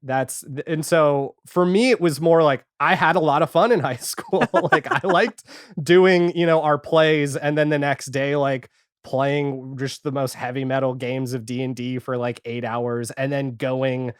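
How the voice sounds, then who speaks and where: A man's voice: medium pitch, fairly even intonation, a little slow, clear, resonant tone, no echo, clean studio sound. A man speaking as a podcast guest in casual chat, telling stories in a rambling way and often acting out his own remarks as quotes. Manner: rambling, self-quoting storytelling. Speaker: podcast guest, audio fiction creator and editor.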